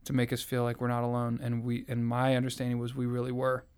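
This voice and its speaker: An earnest young man recounts his personal testimony in a reflective, conversational way. His voice is smooth and clear. He keeps his pitch fairly flat and even as he speaks. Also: fast talker. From American South. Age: young adult. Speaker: interviewee sharing his faith story.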